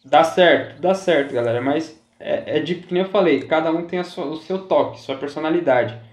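A chatty man with a slightly high voice talks casually through his gameplay tips. He repeats himself and keeps checking in with his viewers. His voice carries a noticeable roomy echo. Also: normal speed. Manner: chatty, repeats himself, says "galera" and "tá?" often. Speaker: gaming YouTuber.

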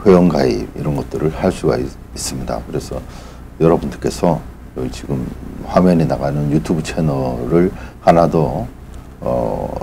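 A middle-aged man with an extremely low, gravelly voice addresses his viewers as a channel host. He speaks in a formal, polite but halting manner, feeling his way through an unscripted announcement. A faint layer of noise sits behind the voice. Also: warm tone.

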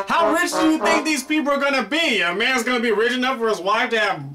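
Excitable man hosting over loud guitar music. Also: extremely high pitch, a little fast. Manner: playful and excitable, reacting aloud to an unseen guest with many "oh"s and laughs. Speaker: host of a question and answer show. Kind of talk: animated comedic Q&A chat. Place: indoor room before a pale curtain. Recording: distant mic with loud guitar music behind.

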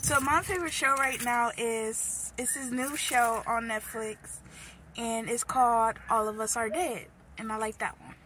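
A nineteen-year-old woman co-hosting a casual vlog from inside a car, playful, chatty and quick to giggle, filmed on a phone held at arm's length. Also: on the high side, fairly even intonation, pushed and bright, a little hiss or hum. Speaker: co-host, girlfriend. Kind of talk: casual chat. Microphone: phone camera at arm's length.

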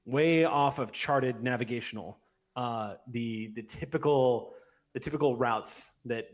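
A man in his forties running a tabletop roleplay session as game master, narrating descriptively with frequent pauses. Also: medium pitch. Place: indoor room at home, on a video call. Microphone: large microphone up close on a boom.

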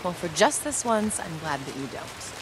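An actress as a lively, witty young American botanist. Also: female voice, on the low side, normal speed, background noise, natural voice. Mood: bantering. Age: young adult. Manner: wry, articulate, teasing. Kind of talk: naturalistic video game acting.

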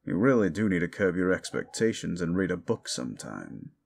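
A man playing a condescending, sardonic ancient demon in an audio roleplay, gravelly, with theatrical, mocking delivery. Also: sits low, very animated, a little fast, heavily acted. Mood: mocking, scornful. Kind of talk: audio roleplay monologue addressed to the listener.

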